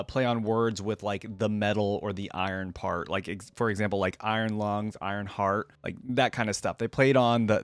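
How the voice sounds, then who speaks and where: A man's voice: mid-range voice, no echo, clean studio sound. A man hosting a reaction video, talking casually and off the cuff in a conversational, rambling way that often checks for agreement.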